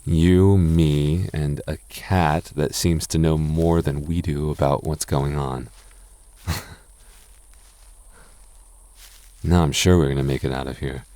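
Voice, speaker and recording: A man's voice: very deep voice, fairly even intonation, a little slow, warm tone, dry recording. A young man voicing a goofy, doting goth boyfriend in an ASMR roleplay, talking in a stammering, self-teasing way with frequent laughs. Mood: playful. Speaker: voice actor.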